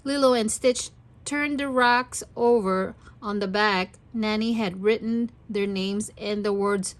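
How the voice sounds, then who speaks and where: A woman's voice: mid-range voice, steady tone, slow. A woman reading a story aloud expressively for young children. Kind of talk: children's read-aloud narration.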